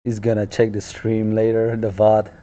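A man in his thirties chatting casually on a live stream from a small office, with a naturally loud voice and relaxed chuckling asides, into a headset mic. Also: on the low side, normal speed. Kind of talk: casual chat while drawing on stream. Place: small office room, indoors. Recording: dull lo-fi stream audio.

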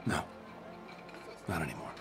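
An actor playing a gentle, remorseful middle-aged scientist, hesitant and subdued, in a video game. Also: male voice, moderate pace, lightly acted. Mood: subdued regret. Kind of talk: naturalistic video game cutscene acting. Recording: music behind the dialogue.

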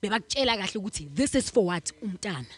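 A young woman with a medium-pitched voice speaks her mind on a podcast, close to a stand mic in a small studio. She talks expressively and moves freely between languages. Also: very fast, slightly rough, no room sound. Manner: expressive, code-switching, opinionated. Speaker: podcast co-host. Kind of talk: casual chat. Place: small studio room, seated on a couch.

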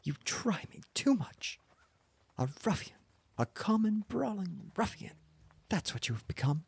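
Man putting on a shrill, furious little wife. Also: big pitch swings, measured pace, gravelly, breathy edge, no echo, exaggerated voice. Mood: outraged. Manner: screaming, wailing, reproachful. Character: small furious indignant wife, shrill and scolding.

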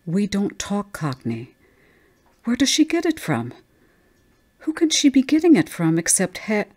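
A woman's voice: on the low side, lively intonation, unhurried, a little mellow. A woman voicing an anxious, fearful mother in a story, speaking in a brittle, emphatic manner. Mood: uneasy. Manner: tense, emphatic.

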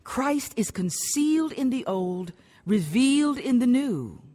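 An actress playing a devout, authoritative Bible study teacher, solemn and instructive, in a radio drama. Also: female voice, fairly high pitch, very animated, a little slow, warm tone, long reverb tail.

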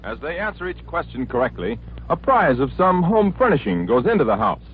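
An American man announces in scripted 1940s radio style. His voice is fairly high and swings widely in pitch. The sound is thin and band-limited, like an old broadcast. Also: big pitch swings, fairly quick. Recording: tinny, noisy 1940s radio recording.

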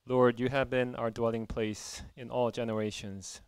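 A man welcoming a church service, reading a psalm and praying in a measured, reverent way. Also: medium pitch, flat delivery, clear, resonant tone, dry recording. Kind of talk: call to worship reading and prayer.

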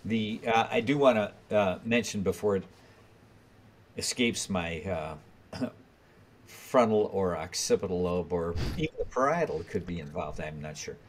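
Male podcast host, low voice, meandering questions, video call. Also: expressive, slight background noise. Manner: halting, rambling question phrasing. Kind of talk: interview questions.

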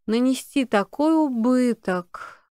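A man's voice: very high voice, lively intonation, slow pace, a little mellow, clear, resonant tone, no room sound, studio quality, pitched above the performer's own voice, full character voice. A man voicing a stern, worried mother, reproachful and earnest, in an audiobook. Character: stern, worried mother, a law-minded wife.

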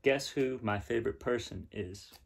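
A man's voice: mid-range voice, moderate pace, vocal fry, a little hiss or hum. A man in his thirties talking casually to camera as he presents and reads a storybook. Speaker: storybook reader. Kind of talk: casual read-aloud to camera. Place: small room at home, indoors.

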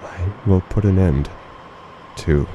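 A man playing an unhinged, wounded, blinded radio announcer in a dystopian horror audio drama, speaking theatrically and formally with halting phrasing. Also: muffled recording. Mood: menacing, cold. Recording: noisy rumbling ambient bed under the voice.

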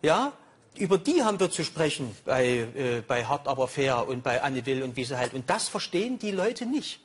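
A man's voice: mid-range voice, very animated, moderate pace, breathy edge. A middle-aged man, a talk show guest, speaking in an agitated, emphatic way. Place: TV studio with audience.

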